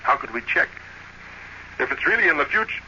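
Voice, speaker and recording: A man acting a troubled middle-aged official in therapy, halting and overwrought, in a muffled, noisy 1950s radio drama. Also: on the high side, big pitch swings, sharp tone, exaggerated voice. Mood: distressed. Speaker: therapy patient.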